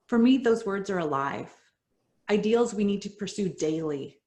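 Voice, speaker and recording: A middle-aged woman whose first language is Spanish, reading her personal story in an earnest, measured way that drops softly at the ends of lines. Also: mid-range voice, lively intonation. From United States. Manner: earnest, measured, dropping soft at line ends. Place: home, virtual event.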